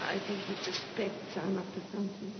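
A woman's voice: medium pitch, flat delivery, a little fast, close to an everyday voice. An actress playing a scheming, lovesick married woman in a vintage radio melodrama, fervent in her delivery. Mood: secretive longing. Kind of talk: 1950s radio drama acting. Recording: echoey, dull, noisy vintage radio recording.

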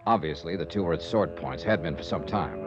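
An actor playing a wry insurance investigator narrating in the first person in a 1950s radio drama. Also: male voice, sits low, fairly quick, lightly acted. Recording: dull lo-fi 1950s radio with music.

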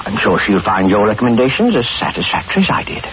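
An actor playing a fussy, falsely genial employer with sinister intent, dithering and repeating himself with unctuous chuckles, in 1940s radio horror style. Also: male voice, lowish voice, sing-song, fast talker. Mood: smooth, businesslike. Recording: noisy, muffled old radio recording.

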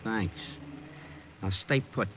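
A man's voice: fairly low pitch, takes it slowly. An actor playing a terse, threatening gunman in a 1950s radio crime drama, giving clipped commands. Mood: impatient, threatening. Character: male gunman, terse, menacing stick-up man. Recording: muffled, noisy old radio recording.

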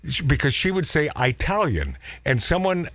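A man's voice: a little high, wildly swooping pitch, normal speed, fairly bright tone, no room sound. A middle-aged man hosting a radio show, chatting casually and telling rambling stories with chuckles. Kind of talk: casual studio chat.

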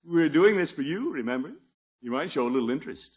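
An actor playing a pompous, scheming middle-aged small-town commissioner in 1950s radio comedy, blustering and self-important. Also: male voice, on the high side, expressive, fast, slightly rough. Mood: coy, eager. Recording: muffled vintage 1950s radio recording.

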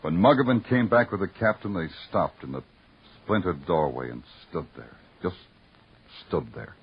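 Deep-voiced, hard-boiled American detective. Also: male voice, husky, full character voice. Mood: brooding narration. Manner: terse, deliberate, poetic in narration. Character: hard-boiled, weary, brooding male police detective on night shift. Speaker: lead actor and first-person narrator. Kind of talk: 1950s radio crime drama acting. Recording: dull old radio recording, loud music.

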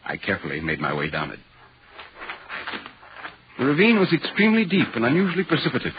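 Inquisitive reporter, expressive old radio voice. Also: male voice, mid-range voice, quick pace. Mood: eerie narration. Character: inquisitive, polite London newspaper reporter recounting an eerie tale. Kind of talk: 1940s radio drama narration and dialogue. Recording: dull, hissy 1940s radio recording.

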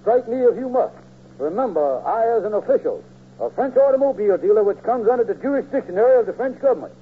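A man's voice: high voice, expressive, fast. A man playing a scheming, grandiose con man with pompous, folksy, ungrammatical speech in a 1940s radio comedy. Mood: indignant bluster. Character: scheming, fast-talking male con man, blustering and grandiose. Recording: old tinny narrow-band radio, hissy hum.

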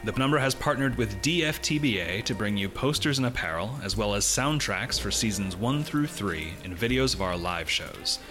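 A man reading scripted announcements as a podcast announcer, in a measured, formal manner. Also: on the low side, animated. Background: music.

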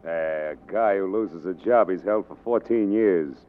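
An actor playing a cynical, flippant newspaper reporter in a 1940s radio drama, delivering dry sarcasm. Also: male voice, low-pitched, measured pace. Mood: sarcastic. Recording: thin, narrow-band 1940s radio recording.

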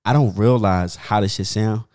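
A young man, a poet on his first podcast, talking casually and haltingly, often repeating words and reaching for fillers. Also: fairly low pitch, darkish tone. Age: young adult. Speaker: poet guest.

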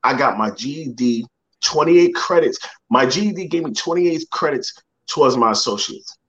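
A man's voice: medium pitch, lively intonation, moderate pace, fairly bright tone, dull audio. A young man, a motivational speaker, telling a personal story in a podcast interview. He repeats words and acts out the people he quotes.

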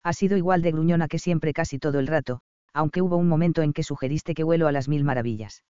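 A man's voice: fairly high pitch, quick pace, mellow, clear, resonant tone, no echo, lightly acted. A man voicing a bossy, teasing young male doctor with dry, smug humor, read in a dull, dry recording. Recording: slightly dull dry audio.

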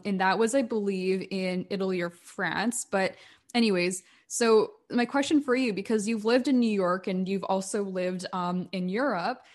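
A woman hosts a casual podcast chat, upbeat and eager as she asks her guest questions. Her voice sits at an ordinary middle pitch. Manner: upbeat and enthusiastic. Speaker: podcast host.

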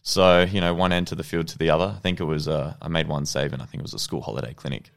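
A young Australian man in his twenties, a guest telling his story in a relaxed, rambling way with lots of ums and restarts. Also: a bass voice, fast, creaky. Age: 20s. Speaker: podcast guest, goalkeeper. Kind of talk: casual interview storytelling.

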